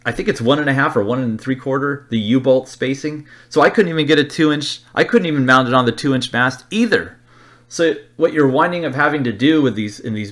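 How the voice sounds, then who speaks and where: A man's voice: mid-range voice, fairly bright tone. A man giving an informal slide-show review off the cuff, blunt and plain-spoken, rambling and repeating words as he thinks aloud. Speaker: presenter of an antenna review.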